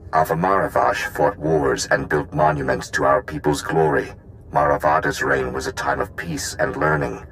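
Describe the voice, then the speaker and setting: An actor playing an ancient, dying machine gatekeeper in a video game, solemn and impassive, speaking in formal, clipped reports. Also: male voice, a bass voice, fairly even intonation, normal speed, fairly bright tone, a little hiss or hum, low-quality recording.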